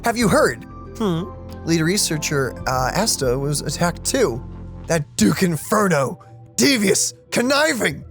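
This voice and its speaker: Animated, playful game streamer. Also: medium pitch, unhurried, faint music behind. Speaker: let's play streamer. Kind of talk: casual game commentary and reading dialogue aloud.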